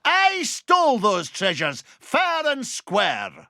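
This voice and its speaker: A smug, cheating rival tycoon duck who sneers with pride. His bright, sharp voice swoops wildly, and he draws out his words slowly. Light music sits behind him. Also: very high voice, wildly swooping pitch, gravelly, no room sound, clean studio sound, full character voice. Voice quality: sneering, boastful. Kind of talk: video game cutscene acting.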